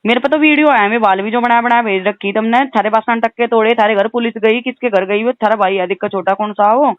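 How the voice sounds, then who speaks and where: A woman's voice: on the high side, fast, dry recording. A woman with a western Uttar Pradesh accent talks on a recorded phone call in an insistent, aggrieved way, often adding questioning tags. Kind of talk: heated phone conversation.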